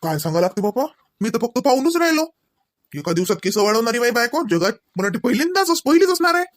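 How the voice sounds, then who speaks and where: A man's voice: extremely high pitch, very animated, fast talker. Man voicing teasing Varhadi cartoon husband. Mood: mock amazed. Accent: Varhadi Marathi. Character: teasing, wisecracking young husband, mock-bossy. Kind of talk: comedy cartoon dialogue.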